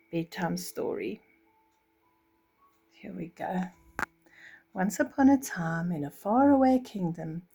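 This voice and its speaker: An older woman reading a children's storybook, pausing to explain things simply as a bedtime storyteller. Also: lowish voice, creaky, darkish tone, breathy edge.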